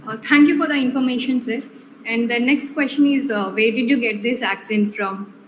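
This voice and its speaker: A woman's voice: on the high side, normal speed. A woman hosting a student seminar, asking questions politely and calling the guest sir. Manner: polite, formal, says sir often. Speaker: student host. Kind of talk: online seminar Q&A. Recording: echoey room with faint noise.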